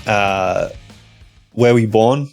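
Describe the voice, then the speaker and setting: A man's voice: fairly low pitch, slow pace, darkish tone, faint music behind. Easygoing male podcast host. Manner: easygoing, prompting questions. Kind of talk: casual interview.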